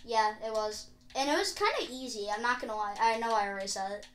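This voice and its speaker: A woman with a fairly high voice chats casually through a gaming session as a player and co-host. The room around the voice is audibly echoey. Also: quick pace, fairly bright tone. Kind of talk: casual gameplay chat.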